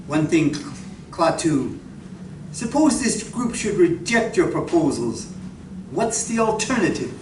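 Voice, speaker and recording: In a distant, echoey recording, an actor plays an inquisitive male professor with a high-pitched voice. He questions carefully and earnestly, as in a stage radio play. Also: sing-song, slow pace. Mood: cautious, questioning. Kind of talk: live radio drama acting. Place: library community room, live performance. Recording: echoey room from far off, noisy.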